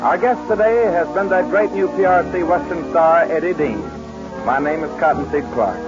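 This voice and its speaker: A man's voice: fairly high pitch, moderate pace. A man with an American accent hosting and announcing a scripted 1940s radio show. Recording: muffled vintage radio broadcast, music behind.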